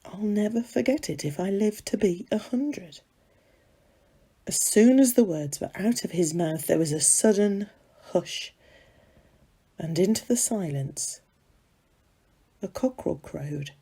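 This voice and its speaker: A woman reading a dramatic monologue as a gossipy serving maid of ancient times, confiding and conversational, with dramatic pauses. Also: mid-range voice, expressive, dark tone, husky. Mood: animated.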